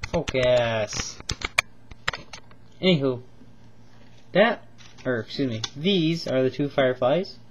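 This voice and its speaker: A man's voice: mid-range voice, animated, slow pace, long pauses, muffled recording, a little hiss or hum. A man with a cold reviewing toy figures in a casual home video, rambling and enthusiastic with lots of ums and repeats. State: stuffed up with a cold, coughing.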